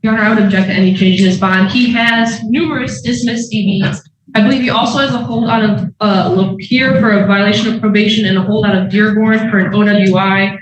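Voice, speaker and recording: A woman argues formally as a prosecutor. Her medium-pitched voice comes from away from the mic, in an echoey room with clear noise. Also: steady tone, a little fast, distant mic. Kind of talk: formal courtroom objection.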